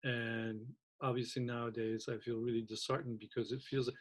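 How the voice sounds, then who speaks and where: A man's voice: mid-range voice, level tone. Guy raised in turkey running a chill video call panel, thoughtful, lots of restarts. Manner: thoughtful, halting, restarts often. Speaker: host of faculty round table. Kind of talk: casual conversation.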